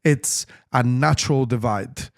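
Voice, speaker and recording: A man's voice: medium pitch, measured pace, gravelly. Italian-accented man, chatty and rambling. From Italy. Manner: rambling, many restarts and fillers, animated. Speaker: tailor being interviewed. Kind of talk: casual interview conversation.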